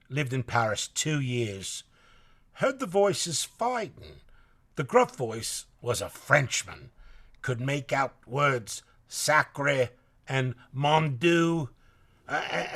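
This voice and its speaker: An actor in a live radio drama playing excitable, halting foreign witnesses with emphatic, theatrical delivery. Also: male voice, mid-range voice, exaggerated voice.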